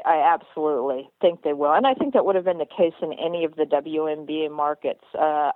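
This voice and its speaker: A woman's voice: deep voice, dry recording. A woman co-hosting a radio show, chatting earnestly about basketball with many restarts and repeated words. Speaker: radio co-host, basketball commentator. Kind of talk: casual radio chat. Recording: dry tinny phone line.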